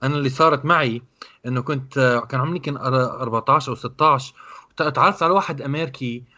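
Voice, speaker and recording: A man telling an anecdote in casual chat with a playful, animated manner. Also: medium pitch, racing, muffled recording.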